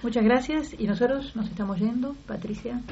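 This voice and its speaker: A woman's voice: fairly high pitch, fairly quick, gravelly. A woman hosting an interview, asking chatty questions and filling in with encouraging murmurs. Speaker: interviewer, host. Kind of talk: broadcast interview. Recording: slightly muffled audio with faint noise.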